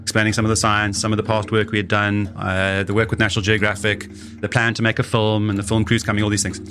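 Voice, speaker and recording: Male expedition leader, interview storytelling, fairly low voice with music underneath. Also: level tone, fast talker, fairly bright tone.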